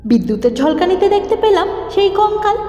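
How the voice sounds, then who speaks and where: A woman's voice: very high-pitched, sing-song, a little fast, noisy background. A woman voicing a timid, frightened schoolgirl who dramatically tells her ghost story in a cartoon. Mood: anxious, dramatic. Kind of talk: dramatic horror story narration. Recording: echoing, long reverb, muffled lo-fi, music. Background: music.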